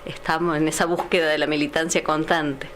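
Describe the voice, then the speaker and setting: A woman's voice: low voice, little pitch movement, brisk, a bit bright, a little hiss or hum. A woman in her forties with an Argentine accent, a city councilor speaking thoughtfully with some hesitation in a radio interview. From San Luis, Argentina. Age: 40s.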